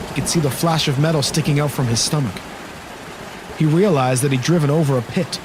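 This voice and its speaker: A man's voice: fairly high pitch, noisy background. A man narrating a scripted horror audio drama in a dramatic storytelling style. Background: storm rain sound effects and music.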